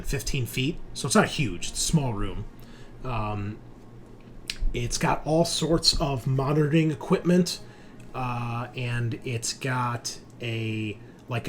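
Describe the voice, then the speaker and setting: Male game master, conversational and halting, improvised scene narration, faint noise behind. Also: mid-range voice.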